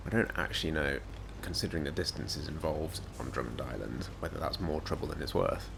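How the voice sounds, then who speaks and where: Deep croaky guy rambling over a truck game, bit of hiss behind. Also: fairly quick. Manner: rambling, self-mocking asides. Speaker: gaming YouTuber. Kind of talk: casual gameplay commentary.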